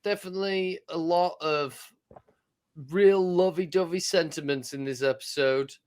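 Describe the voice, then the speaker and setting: A man's voice: fairly high pitch, normal speed, a little mellow. A young man co-hosting a podcast, joking around and swearing freely. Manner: jokey, throws in silly voices and swearing. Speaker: podcast co-host. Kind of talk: casual chat reviewing a TV episode.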